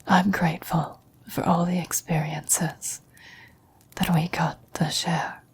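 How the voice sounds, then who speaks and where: A woman playing a gentle, wise, dying old woman in a whispered, breathy, solemn ASMR roleplay. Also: on the low side, level tone, slight background noise. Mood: tender, grateful. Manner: slow, solemn, measured delivery.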